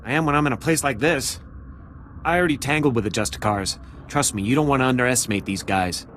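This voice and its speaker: A man's voice: medium pitch, fast talker, slight background noise, close to an everyday voice. Man acting a jumpy, nervous security officer. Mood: jittery. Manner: hesitant, anxious, hushed urgency. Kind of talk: video game character acting.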